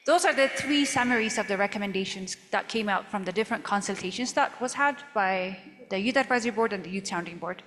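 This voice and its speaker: A woman moderating a panel, brisk and friendly as she sums up and invites questions. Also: mid-range voice, a bit bright. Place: large conference hall. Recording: reverberant hall, faint noise.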